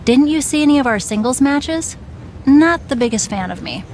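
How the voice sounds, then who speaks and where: A woman's voice: high-pitched, lively intonation, normal speed, a little mellow, slight background noise. A woman playing a bossy, seasoned wrestling legend in video game dialogue, firm, matter-of-fact and wry. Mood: frank, firm.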